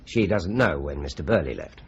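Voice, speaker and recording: A measured, deliberate detective in an old radio drama. His delivery rises and falls a good deal. The recording is slightly muffled and has faint hiss. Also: rumbling low, sing-song, vocal fry, heavily acted. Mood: methodical. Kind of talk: 1950s-style BBC radio drama.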